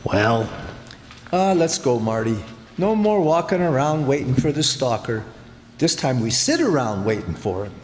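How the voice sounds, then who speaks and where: A man's voice: mid-range voice, animated, unhurried, over music, natural voice. A man playing a weary, grumbling beat cop with resigned tough talk in an old-time radio drama. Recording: echoey hall, clear background noise.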